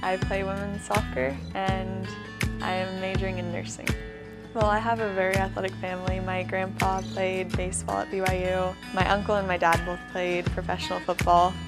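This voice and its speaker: A woman's voice: medium pitch, level tone, moderate pace. A woman in her early twenties from Texas, a college athlete giving casual interview answers. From Texas, United States. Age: early 20s. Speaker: college soccer player being profiled. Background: loud guitar music.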